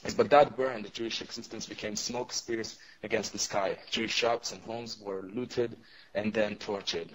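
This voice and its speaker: A young man with a fairly low voice speaks English as his third language, his first being Arabic. He answers in a hesitant, conversational way, full of pauses and restarts. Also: steady tone, slightly rough, poor audio. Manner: hesitant, many fillers and restarts. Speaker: interview guest.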